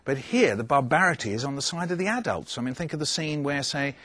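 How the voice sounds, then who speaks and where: A man's voice: medium pitch, lively intonation, fast, a bit bright, dry recording. A man hosting a book discussion, putting probing, rhetorical questions to the panel. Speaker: host of a book discussion programme.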